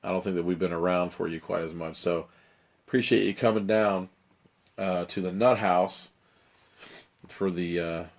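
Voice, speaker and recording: Streamer host, male, lowish and raspy; dramatic reading mixed with rambling chat. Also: fairly even intonation, normal speed, pauses often. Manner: dramatic narration, then loose rambling chat. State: raspy, strained voice. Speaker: host reading a choose-your-own-adventure book. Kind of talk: live-stream storytelling and chat.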